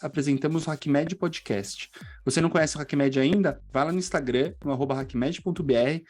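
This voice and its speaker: A man's voice: on the high side, darkish tone. A man with a Brazilian Portuguese accent presenting a podcast in a conversational style. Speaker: podcast host, orthopedic doctor.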